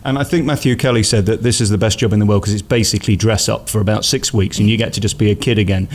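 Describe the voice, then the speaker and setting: A man's voice: lowish voice, fairly even intonation, fast talker. An English man interviewing a guest casually for radio, cheeky and blunt while also gushing with praise.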